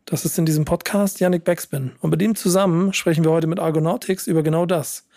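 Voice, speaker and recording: A man with a fairly high voice hosts a casual podcast. He sounds hoarse and a bit worn out, like someone under the weather, and talks in a loose, conversational way. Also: fairly even intonation, quick pace, mellow.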